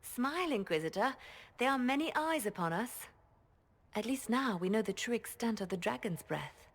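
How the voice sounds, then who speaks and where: A woman's voice: a little high, animated, normal speed, husky. A woman playing a composed, discreet and cunning spymaster trained as a bard in a video game. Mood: composed, calculating.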